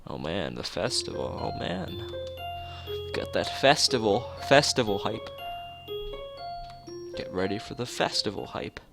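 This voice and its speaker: A man with a fairly low, very animated voice reads a visual novel aloud for a stream, slipping into casual asides. Guitar music plays behind him. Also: measured pace, gravelly, pauses often. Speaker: gaming streamer.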